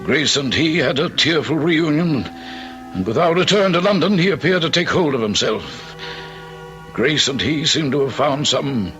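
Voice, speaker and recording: An actor playing a concerned friend who tells the tale in a radio drama, earnest and formal. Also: male voice, fairly high pitch, animated, a little slow, a bit bright, soft background music. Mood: worried, reflective. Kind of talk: old-time radio drama acting and narration.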